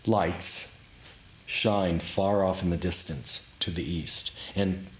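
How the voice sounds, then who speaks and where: Man, deep voice, author reading memoir, measured fragmentary phrasing, echoey live room. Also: a bit bright. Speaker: author reading his own script. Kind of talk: dramatic literary reading of a memoir. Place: room in a historic house, live event.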